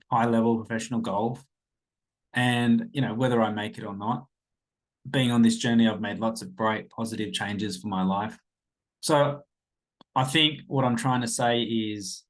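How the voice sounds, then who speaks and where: A man's voice: fairly low pitch, low-quality recording. An Australian man in his thirties hosting a podcast, talking about his own life in a rambling, hesitant way, with lots of ums and self-corrections. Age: 30s. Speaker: podcast host. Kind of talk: rambling personal monologue.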